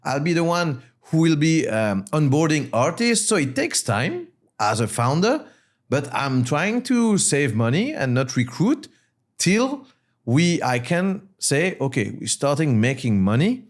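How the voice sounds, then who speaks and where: A man's voice: on the high side, very animated, measured pace. A man from Mauritius speaking as an interview guest, an entrepreneur explaining his views in short, emphatic, repeated phrases. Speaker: guest, startup founder and CEO.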